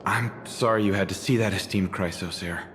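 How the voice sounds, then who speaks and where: An actor playing a humble, weary refugee priest in a video game, speaking politely and earnestly. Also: male voice, fairly low pitch, fairly quick, a bit bright, a little hiss or hum, natural voice. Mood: respectful. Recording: long reverb, faint music behind.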